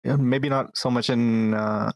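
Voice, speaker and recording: A man's voice: medium pitch, steady tone, measured pace, a little mellow, no room sound. A man in his twenties, born in Pakistan, talking earnestly as a guest in a casual interview and often repeating words to stress a point. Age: 20s. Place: Calgary, Canada.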